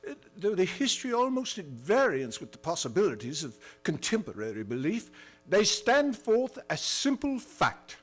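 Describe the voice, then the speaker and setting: A man performs an earnest gentleman narrator of a gothic radio play. His high voice moves widely in pitch, formal and solemn, over faint music. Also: full character voice. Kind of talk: old-time radio drama narration.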